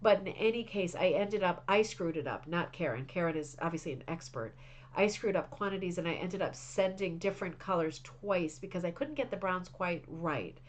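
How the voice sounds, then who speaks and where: A woman with a slightly low voice and a touch of congestion hosts a relaxed craft club stream. She chats warmly and eagerly about viewers' projects, often restarting her sentences as she goes. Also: normal speed. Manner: chatty, enthusiastic, frequent restarts and fillers. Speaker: host of a craft club livestream. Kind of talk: casual chat reading viewer messages.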